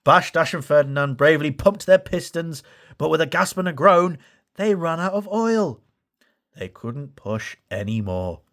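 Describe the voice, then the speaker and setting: A man reading a children's story aloud with animated, expressive narration. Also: a little high, measured pace. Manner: animated, acts out dialogue. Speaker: storybook reader.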